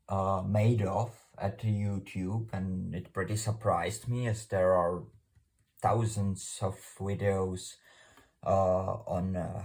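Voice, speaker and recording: A man with a Czech accent, a native Czech speaker, presenting an informal unscripted explainer in a halting, hesitant way with formal phrasing. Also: low voice, darkish tone. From Czech Republic.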